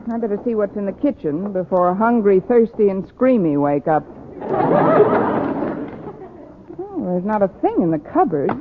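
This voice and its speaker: An actress playing a weary, wisecracking schoolteacher stuck babysitting, with a dry delivery in golden-age radio comedy style. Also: female voice, mid-range voice, natural voice. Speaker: lead actress. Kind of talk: 1940s-50s radio comedy acting. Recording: tinny, noisy old radio recording.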